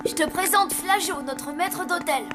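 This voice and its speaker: A woman's voice: extremely high pitch, measured pace, music in the background. A woman voicing an awkward, earnest zombie schoolboy in a children's cartoon. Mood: upbeat. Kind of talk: French children's cartoon acting.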